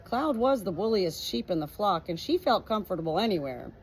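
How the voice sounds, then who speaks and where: A woman reading an audiobook as an expressive storybook narrator. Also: mid-range voice, brisk, a little hiss or hum, poor audio. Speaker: audiobook reader.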